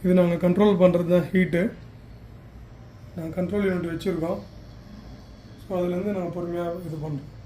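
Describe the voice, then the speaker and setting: Male demonstrator, notably high pitch, plain instructional delivery, faint background noise. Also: fairly even intonation, brisk, long pauses, mellow, low-quality recording. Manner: plain step-by-step explaining with some English technical words. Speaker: product demonstrator for a heater supplier.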